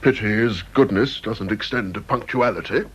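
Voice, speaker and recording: Dry British panel member, dull recording. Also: male voice, on the low side, moderate pace, a bit bright, breathy edge. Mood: disparaging. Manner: dry, disparaging, hesitant when formal. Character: dismissive, grumbling male interview panel member. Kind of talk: British radio drama acting. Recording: dull old radio recording.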